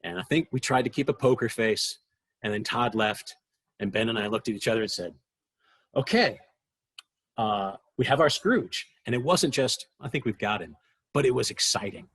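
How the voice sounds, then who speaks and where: A man recalling his work as a producer in an interview, earnest and halting as he searches for words. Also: medium pitch, expressive, a bit bright, breathy edge, no echo. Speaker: interviewee, radio drama executive producer. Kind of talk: reflective interview recollection.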